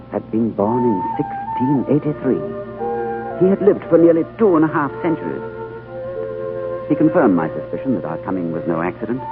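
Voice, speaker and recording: An actor playing a male diplomat who narrates his strange journey in a 1930s radio drama, earnest and expressive. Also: medium pitch, close to an everyday voice. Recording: tinny old radio broadcast with music.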